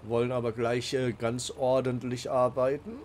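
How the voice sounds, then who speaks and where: A man's voice: mid-range voice, flat delivery, normal speed, a little mellow, a little hiss or hum. A man with a cold, hosting a casual let's play and talking along with many fillers and restarts. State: has a cold. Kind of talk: casual game commentary.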